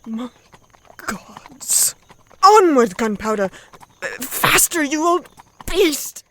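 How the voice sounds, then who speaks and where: A man's voice: very high voice, big pitch swings, extremely slow, a bit bright, full character voice. A man playing a terrified horseman in an audio drama, frantically urging on his old horse. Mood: terrified, urgent. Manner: frantic, exclaiming.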